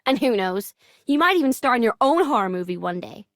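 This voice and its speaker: Highish, folksy, playful storyteller. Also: female voice, big pitch swings, a little fast, no echo, clean studio sound. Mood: playful, sly. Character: folksy, nosy female horror storyteller, playful. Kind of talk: video game character voice acting.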